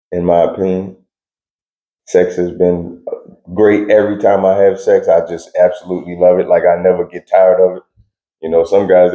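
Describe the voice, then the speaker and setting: Deep-voiced man, laid-back and blunt. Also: mellow, reverberant, highs cut off. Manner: laid-back, laughs often, blunt slang. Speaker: interview guest. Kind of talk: casual interview answers.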